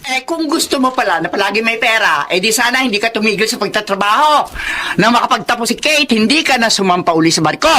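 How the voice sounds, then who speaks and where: An actress playing a thrifty, sharp-tongued middle-aged mother who scolds and mocks her husband. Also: fairly high pitch, lively intonation, fast, slight background noise. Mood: scolding.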